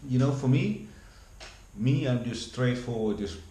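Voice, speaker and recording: A man's voice: mid-range voice, a little slow, warm tone. A man in his forties with a Dutch accent, rambling through a casual vlog monologue with many restarts and repeated words. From Netherlands. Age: 40s. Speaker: vlogger. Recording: echoey room with faint background hum.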